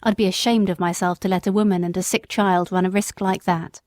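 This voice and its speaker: A woman voicing a kind, steady London cab driver in a storybook reading, speaking plainly, gently and firmly. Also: fairly low pitch, a little fast, no room sound, clean studio sound, lightly acted. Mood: kindly firm.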